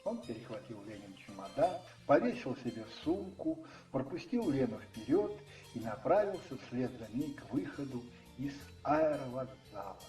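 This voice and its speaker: A man narrates a radio drama in a measured storytelling manner. His voice is mid-pitched and slightly removed from the microphone, with music clearly running behind it. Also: big pitch swings, warm tone, echoey room, distant mic, low-quality recording. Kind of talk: scripted radio play narration.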